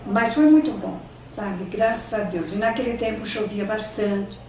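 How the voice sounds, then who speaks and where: An older Brazilian woman whose first language was Italian, reminiscing in an interview and often pausing to search for words and restarting her sentences. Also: mid-range voice, noisy background. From Brazil, São Paulo state. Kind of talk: oral history reminiscing. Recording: echoey room, distant mic, faint ambience.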